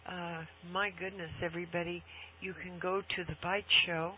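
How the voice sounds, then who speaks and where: A woman hosting a casual talk radio conversation, speaking haltingly with thoughtful pauses. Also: lowish voice, level tone. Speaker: radio show host. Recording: tinny phone-like line, humming noise.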